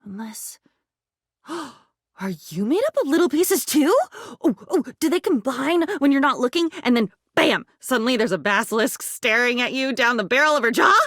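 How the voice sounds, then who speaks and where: Frantic talking diary, female, high voice, unhinged, lonely, theatrical game character, very dry close recording. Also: animated, normal speed, fairly bright tone, dry recording. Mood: bewildered, manic. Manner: theatrical, erratic, swinging between whispers and cries. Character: unstable living diary, lonely, frantic, fractured female spirit. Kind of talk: video game character voice acting.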